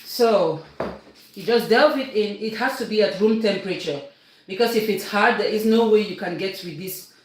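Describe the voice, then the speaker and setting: A woman's voice: mid-range voice, normal speed. Woman, Cameroonian English accent, chatty and instructive, very echoey room, faint hiss. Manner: chatty, instructive, keeps checking in with viewers. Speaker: cooking livestream host. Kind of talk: casual live baking demonstration.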